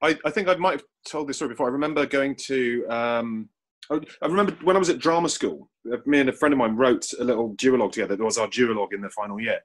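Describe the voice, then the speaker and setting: Fast-talking, slightly husky man chatting. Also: medium pitch. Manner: rambling, repeats and restarts phrases. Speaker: audio drama writer. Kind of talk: casual panel chat. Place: remote online call.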